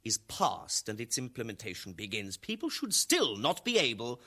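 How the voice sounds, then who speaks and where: An actor playing a stern, menacing colonel who runs a regime's security, pompous and emphatic, in a satirical radio drama. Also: male voice, medium pitch, wildly swooping pitch, normal speed, pushed and bright, exaggerated voice. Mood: officious.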